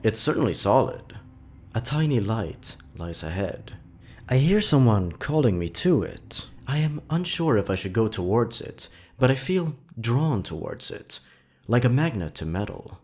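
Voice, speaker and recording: A man reading scripted horror fiction as a dramatic narrator, with measured, deliberate phrasing. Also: mid-range voice, very animated, darkish tone, slightly rough.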